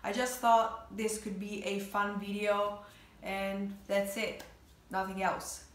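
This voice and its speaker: A woman's voice: mid-range voice, flat delivery, slow pace. A woman in her twenties with an Italian accent, chatting casually to camera in a reaction video. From Italy. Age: 20s. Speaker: vlogger. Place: small bedroom indoors, sitting on bed. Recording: roomy, echoey bedroom sound.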